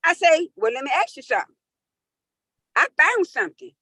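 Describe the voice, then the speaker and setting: A woman, telling an animated testimonial full of acted-out dialogue. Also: sits high, moderate pace, no echo, clean studio sound. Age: 50s. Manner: lively, acts out quoted dialogue, emphatic. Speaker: caller sharing a testimonial.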